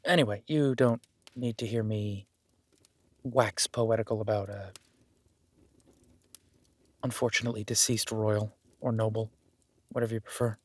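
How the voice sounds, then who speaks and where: A man playing a young werewolf duke in an intimate audio roleplay, modest and earnest, speaking informally and haltingly as if unsure of himself. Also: fairly low pitch, fairly even intonation, dry recording. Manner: hesitant, self-deprecating, informal, halting.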